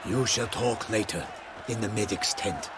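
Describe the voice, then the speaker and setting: An actor playing an aging, protective knight in a fantasy game, with formal, courtly phrasing. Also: male voice, fairly low pitch, unhurried, a loud crowd, lightly acted. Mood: firm. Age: older. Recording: game mix with loud background noise.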